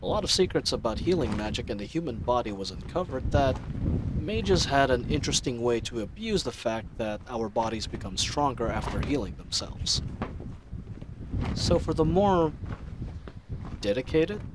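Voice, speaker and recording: An idealistic knight captain voiced by a man speaks in a mid-pitched voice, earnest and given to rambling. It is a fantasy audio drama monologue, reflective yet playful, with clear ambient noise laid behind it. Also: very animated, normal speed, lightly acted. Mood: animated, rambling. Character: earnest, chatty young male knight captain, idealistic and teasing. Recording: noisy ambient sound effects bed. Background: added outdoor ambience sound effects.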